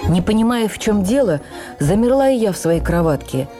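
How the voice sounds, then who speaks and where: A woman reading a memoir for radio as an actress, with measured, expressive, theatrical storytelling. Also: medium pitch, over music.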